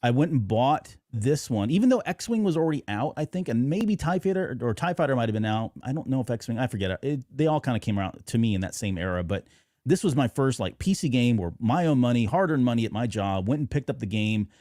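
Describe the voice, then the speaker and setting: A man's voice: medium pitch, lively intonation, a little fast, mellow, no room sound, clean studio sound. A middle-aged man hosting a casual fan podcast, chatting in a rambling, conversational way. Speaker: podcast host.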